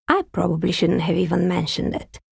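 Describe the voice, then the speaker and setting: An actress playing a wry, world-weary artisan dicemaker in a video game, speaking in a dry, understated, matter-of-fact way with a husky, breathy voice. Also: female voice, on the low side, little pitch movement, brisk, a little mellow, no echo, full character voice. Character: wry, world-weary woman artisan who makes novelty dice. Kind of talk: video game character dialogue.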